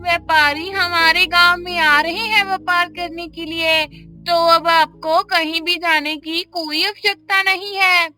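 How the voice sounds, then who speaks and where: A woman's voice: very high voice, fairly even intonation, a little slow, bright, forceful tone, heavily acted. A woman playing an eager, dutiful servant in a Hindi moral-story cartoon, with an insistent manner. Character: landlord's dutiful servant bringing news, eager.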